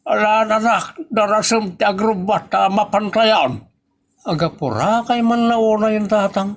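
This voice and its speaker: Man acting bashful Ilocano husband, higher-pitched voice. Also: a little slow. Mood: nagging. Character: bashful, fussy husband in a rural family comedy. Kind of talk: Ilocano audio comedy drama acting.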